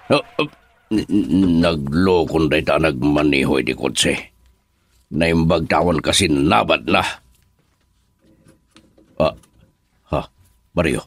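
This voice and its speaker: A man's voice: very deep voice, slow, pauses often, no echo, heavily acted. A man acting an authoritative, concerned boss in a radio drama, grave and dramatic, with an Ilocano Filipino accent. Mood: troubled, questioning. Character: authoritative, concerned male boss addressed as sir.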